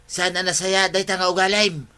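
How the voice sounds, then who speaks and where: A man's voice: high-pitched, level tone, moderate pace, bright, forceful tone, clear, resonant tone. A man playing an exasperated villager scolding a meddlesome woman in an Ilocano comedy radio drama, nagging in an Ilocano accent.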